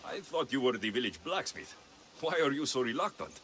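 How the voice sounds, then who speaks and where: Man playing terse mercenary, lively pitch swings. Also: brisk, slight background noise, lightly acted. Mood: dry, curious. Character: confident male mercenary for hire, dry and steady. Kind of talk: video game cutscene acting.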